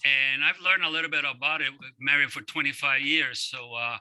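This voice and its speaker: A man's voice: medium pitch, steady tone, moderate pace, sharp tone, low-quality recording. A man originally from Cuba introducing a speaker at a webinar over a video call, hesitant with many fillers. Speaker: event chairperson.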